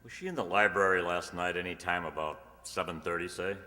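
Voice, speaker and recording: A man's voice: sits low, fairly even intonation, fairly quick, pushed and bright. A man playing a no-nonsense small-town sheriff, businesslike and matter-of-fact, in a radio mystery play. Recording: reverberant hall sound.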